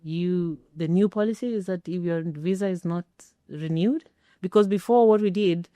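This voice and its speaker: A middle-aged woman telling a personal story as an interview guest, speaking emphatically with pauses for effect. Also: lowish voice, mellow, no room sound, studio quality. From Kenya. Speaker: interview guest, former air traffic controller.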